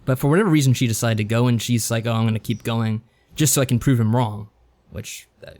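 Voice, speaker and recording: A man with a voice of middling pitch talks casually as a podcast co-host. He recaps a story in a loose, rambling way, with wry asides along the way. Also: fast talker, a little mellow. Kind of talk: casual chat recapping a visual novel.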